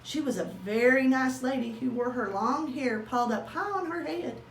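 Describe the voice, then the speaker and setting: A woman reading a children's story aloud in an animated way, drawing out words for dramatic emphasis. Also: high-pitched, normal speed. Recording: roomy echo with faint noise.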